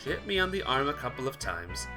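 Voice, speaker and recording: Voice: medium pitch, sing-song, fast talker, music in the background. A let's player reading a visual novel aloud as dramatic narration, occasionally stumbling and correcting the wording.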